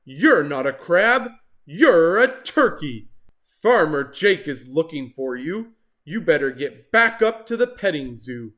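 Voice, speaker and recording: A man's voice: a little high, very animated, slow, fairly bright tone, full character voice. A man voicing a weary, scolding beach lifeguard in a storybook, firm and emphatic.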